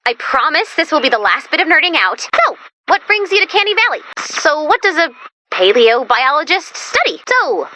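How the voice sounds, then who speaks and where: High, animated, chipper robot helper. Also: female voice, moderate pace, dry recording, full character voice. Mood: curious, upbeat. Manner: eager, quirky, expressive. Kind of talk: video game character voice lines.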